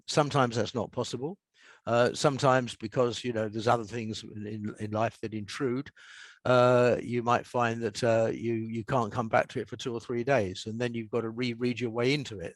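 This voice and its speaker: Older man, low-key dry studio sound. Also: no echo. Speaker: guest author and scriptwriter. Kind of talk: reflective interview talk, with a short reading from own prose.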